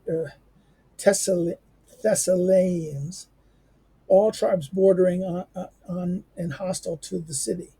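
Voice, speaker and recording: A hoarse man, a teacher, reading a history text aloud in a halting way with many fillers and restarts, then adding his own remarks. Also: high voice, mellow, poor audio. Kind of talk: halting read-aloud with commentary.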